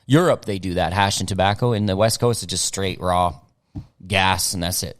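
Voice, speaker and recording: A middle-aged man whose first language is German speaks in a low, relaxed voice as a podcast guest. He is loose and slangy and stumbles over and repeats his words. The sound is dry and close. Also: moderate pace, no room sound. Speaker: guest rapper. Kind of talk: casual podcast interview.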